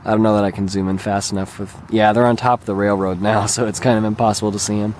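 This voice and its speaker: A man with a mildly hoarse, slightly raspy voice, talking casually and hesitantly as he rambles through a vlog as its host. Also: fairly low pitch, level tone, quick pace, darkish tone, slight background noise. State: mildly hoarse, recovering throat. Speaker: video channel host and gamer. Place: open lakeshore beach outdoors. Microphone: handheld camera held by the speaker.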